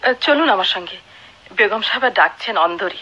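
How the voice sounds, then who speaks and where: A woman's voice: medium pitch, animated, moderate pace, bright, forceful tone. A woman playing a secretive, insistent maidservant in a Bengali radio play, urgent and terse. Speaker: radio drama actor. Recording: muffled old radio drama recording.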